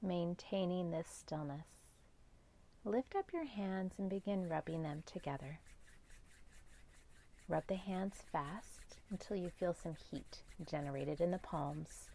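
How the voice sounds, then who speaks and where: A woman guiding a meditation as a yoga nidra teacher, speaking in gentle, measured instructions with long pauses. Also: fairly low pitch, vocal fry. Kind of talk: guided meditation.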